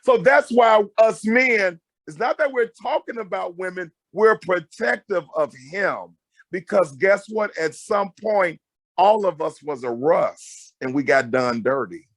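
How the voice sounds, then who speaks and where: A loud, emphatic middle-aged man giving animated, conversational advice as a guest counselor, often repeating phrases to drive them home. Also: sits high, a little slow, dry recording. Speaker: guest marriage counselor and mentor.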